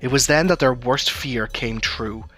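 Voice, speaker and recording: A man's voice: mid-range voice, a little slow, fairly bright tone. A man reading scripted third-person narration for an audio drama. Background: music.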